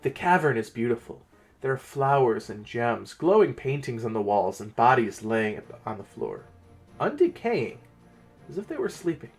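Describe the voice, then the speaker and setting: A man hosting and narrating fantasy stories as a game master, theatrical, with weighted pauses. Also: medium pitch, sing-song, faint music behind. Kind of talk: dramatic reading and channel presenting.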